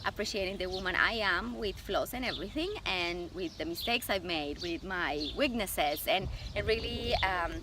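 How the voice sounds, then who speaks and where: A woman in her forties with a Colombian Spanish accent, speaking English as a second language. She talks warmly in a relaxed interview and laughs often. The recording carries clear background noise and birdsong. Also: medium pitch. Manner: warm, laughs often, thoughtful pauses with fillers. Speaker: guest, image consultant. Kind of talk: casual interview.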